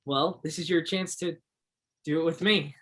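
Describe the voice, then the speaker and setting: A man's voice: on the high side, fairly even intonation, moderate pace, a bit bright. A man acts the role of a young Alaskan woodsman in a one-act play. He comes across as earnest and shy, with a hesitant, sincere way of speaking. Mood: keen. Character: earnest, shy young Alaskan backwoodsman who loves Shakespeare.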